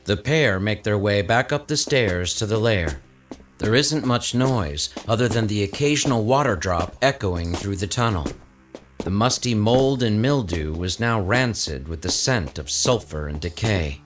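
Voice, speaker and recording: A man narrates a supernatural audio drama from a script. His voice is on the low side, and soft music plays faintly behind it. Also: animated, unhurried.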